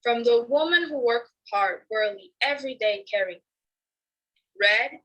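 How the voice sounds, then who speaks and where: A woman's voice: fairly high pitch, expressive, unhurried, a bit bright, low-quality recording. A woman from Angola reading her own poem aloud in a careful, earnest recitation. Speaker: student poet. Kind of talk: poetry reading.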